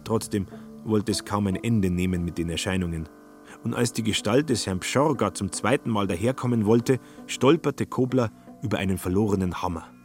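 A man narrates a literary radio drama in a fairly low voice. The delivery is scripted storytelling, with faint music beneath it. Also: moderate pace, darkish tone.

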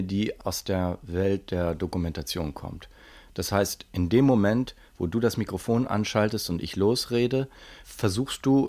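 A man's voice: deep voice, darkish tone. A man talking in an interview, explaining things in a reflective, conversational way. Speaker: musician and radio play maker being interviewed.

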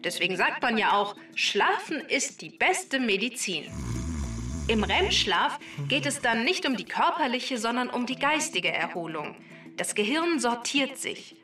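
Talking database for kids' podcast: bright, factual, faintly smug, roomy sound with music behind. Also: female voice, a little high, very animated, unhurried, heavily acted. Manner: matter-of-fact, precise explaining. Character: talking female database, factual, slightly conceited robot helper. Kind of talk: scripted explainer for a children's podcast. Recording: roomy echo, distant, music underneath.

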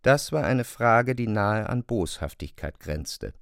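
Male narrator, lowish pitch, animated intonation, radio drama, measured storytelling, close dry studio. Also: creaky, no room sound.

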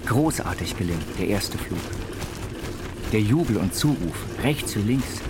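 A man reciting literary text in a measured, declamatory way for an audio biopic. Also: lowish voice, expressive, heavy background noise. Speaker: reader of an inventor's writings. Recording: studio voice under loud music. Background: loud instrumental music.

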